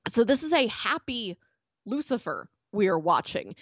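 Female podcast host, medium pitch, chatty and enthusiastic, casual review talk, dry recording. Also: big pitch swings, normal speed, no room sound.

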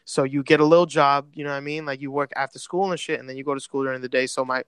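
A man's voice: mid-range voice, fairly even intonation, rapid-fire, fairly bright tone, smooth, no room sound. A man whose first language is Spanish, chatting casually as a podcast guest and telling a rambling story full of slang. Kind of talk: casual chat.

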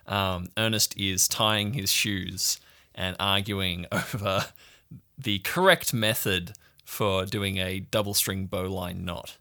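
Low, gravelly male game master. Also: pushed and bright. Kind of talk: improvised second-person scene narration for a tabletop game.

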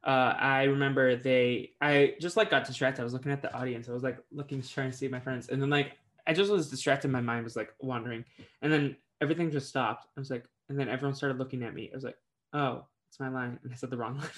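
Young male podcast co-host, mid-pitched, halting and filler-heavy, trails off at ends. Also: fairly even intonation, fairly bright tone. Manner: hesitant, full of "um" and "like", trailing off. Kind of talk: casual chat.

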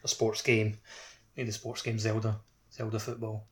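Male podcast panelist, slightly low pitch, informal gaming banter. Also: completely flat delivery, moderate pace. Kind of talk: casual gaming podcast chat.

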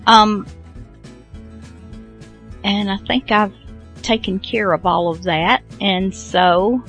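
A woman's voice: medium pitch, steady tone, takes it slowly, a bit bright, faint music behind. A woman hosting a radio show, chatting casually in a rambling, self-deprecating way as she introduces programs.

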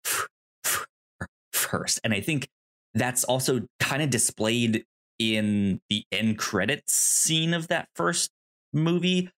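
Halting man chatting casually about films. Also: mid-range voice, lively intonation, fairly bright tone. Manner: halting, repeats words and trails into tangents. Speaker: podcast co-host. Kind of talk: casual film discussion.

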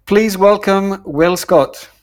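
A man's voice: sits high, takes it slowly. A man hosting a podcast, introducing his guest in a welcoming way with a few small restarts. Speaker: podcast host.

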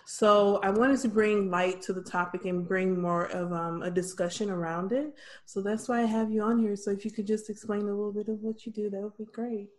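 A young American woman hosting a podcast, speaking softly with many ums and restarts in a casual interview chat. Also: medium pitch, steady tone, fairly quick. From Michigan, USA. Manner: soft-spoken, with frequent ums and restarts. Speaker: podcast host.

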